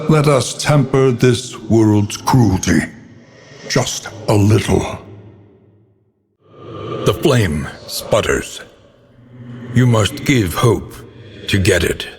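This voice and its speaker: A man's voice: fairly low pitch, lively intonation, slow pace, pauses often, a little hiss or hum. An actor playing a grave, world-weary older male narrator of a gothic horror game, solemn, ominous and florid in his theatrical delivery. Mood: solemn, resolute. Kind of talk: theatrical scripted dark fantasy narration. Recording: long cavernous reverb.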